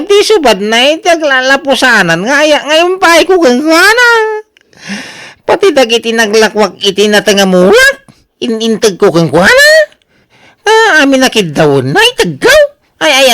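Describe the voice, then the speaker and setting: An actor playing a wounded, jealous older brother with impassioned delivery in a radio drama. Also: male voice, very high-pitched, wildly swooping pitch, moderate pace, pushed and bright, exaggerated voice. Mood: wounded, accusing.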